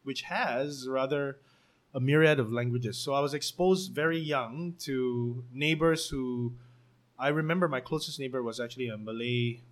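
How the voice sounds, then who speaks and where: A man's voice: medium pitch, normal speed. Laid-back young Singaporean man. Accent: Singaporean English. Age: 20s. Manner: relaxed, laughs often, many fillers. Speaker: interviewee. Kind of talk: casual conversational storytelling.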